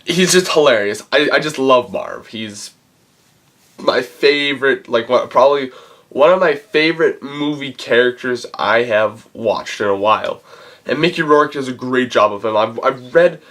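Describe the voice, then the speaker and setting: A man with a cold gives a casual, rambling film review, halting as he searches for words. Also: mid-range voice, expressive, fairly bright tone. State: has a cold. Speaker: movie reviewer and channel host.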